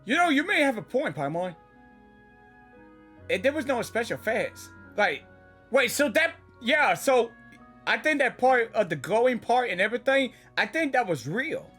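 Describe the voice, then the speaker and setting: A woman giving casual, halting, informal live reactions to a video game as she plays. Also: a little high, sing-song, fairly bright tone, faint music behind. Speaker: gaming reaction host.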